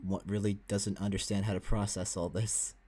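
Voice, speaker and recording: A man's voice: fairly low pitch, flat delivery, normal speed, darkish tone, breathy edge. A man giving casual, chatty reaction commentary as a streamer.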